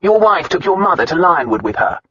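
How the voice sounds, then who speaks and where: A man voicing an amused young gentleman who speaks tenderly and teasingly in a storybook reading. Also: sits high, sing-song, fairly quick, breathy edge, pitched above the performer's own voice, exaggerated voice. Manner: lightly raised, teasing and soothing. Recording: slightly muffled dry recording.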